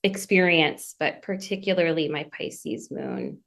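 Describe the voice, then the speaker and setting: A woman from Massachusetts with a slightly low voice talks earnestly as a podcast guest. She slips into quick, eager bursts when a memory excites her, then settles again, all heard over a remote call. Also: gravelly. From Massachusetts, USA. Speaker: guest, astrology student. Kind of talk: conversational podcast interview.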